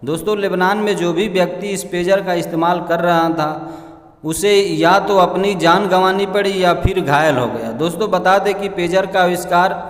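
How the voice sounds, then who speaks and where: A man reads a scripted explainer in a halting way, addressing listeners as friends. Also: high voice. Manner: halting read-aloud delivery, often addressing listeners as friends. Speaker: presenter narrating an explainer. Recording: echoey room with long reverb.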